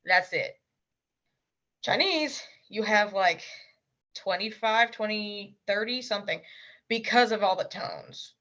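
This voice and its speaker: A young woman being interviewed, talking casually and bluntly, with emphatic quick asides. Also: medium pitch, sharp tone, muffled recording. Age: young adult. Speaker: interview guest, language learner.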